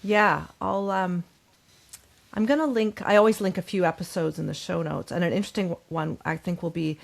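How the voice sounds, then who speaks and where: A woman's voice: on the low side, moderate pace, creaky. A woman hosting a conversational interview, chatting in a friendly, attentive way. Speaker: podcast host.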